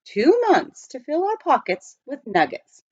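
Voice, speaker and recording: A woman's voice: high voice, wildly swooping pitch, unhurried, darkish tone, no room sound, dull audio, pitched above the performer's own voice, heavily acted. A woman voicing a proper, unflappable male English butler with formal, precise, reassuring speech in a storybook. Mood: composed, optimistic.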